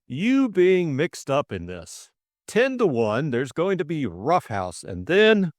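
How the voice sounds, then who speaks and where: A man's voice: a little high, huge pitch swings, slow, no room sound, studio quality, pitched above the performer's own voice, heavily acted. A man voicing an anxious, earnest upper-class young man in an audiobook drama, hesitant and full of old-fashioned exclamations. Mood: agitated, pleading.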